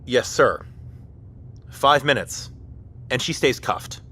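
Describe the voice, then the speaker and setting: A man's voice: medium pitch, animated, takes it slowly, pushed and bright, slight background noise. A man playing a stern, by-the-book military officer in an audio drama, speaking formally and procedurally. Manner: formal, procedural, addresses others as sir and ma'am.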